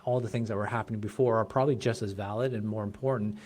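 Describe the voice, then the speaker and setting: A Canadian man in his forties, a guest on a podcast, telling his story in casual chat with many restarts and quick laughs. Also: on the low side, fairly even intonation, a little mellow. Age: 40s. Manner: fast, rambling, restarts and laughs. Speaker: podcast guest, chiropractic coach.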